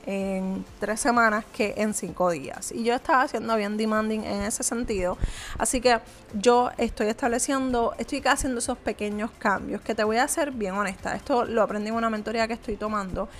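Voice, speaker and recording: A woman with a somewhat high voice talks straight to the viewer in a motivational vlog. She sounds earnest and conversational, like a small business owner sharing advice, pausing often on little hesitant fillers. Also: a little hiss or hum.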